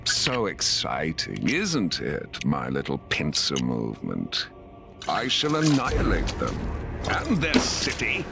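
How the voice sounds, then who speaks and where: A gloating conqueror, low and creaky, with wildly swooping pitch. He is a cruel, theatrical game villain, lingering on his words over loud music. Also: wildly swooping pitch, slow, full character voice. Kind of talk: video game villain voice acting.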